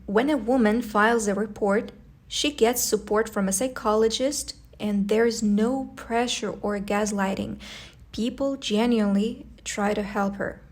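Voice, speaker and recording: A woman's voice: mid-range voice, measured pace, darkish tone. A young woman from a post-Soviet country with an Eastern European accent, narrating her life abroad in a friendly, earnest, conversational way. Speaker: vlogger. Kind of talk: scripted voice-over narration.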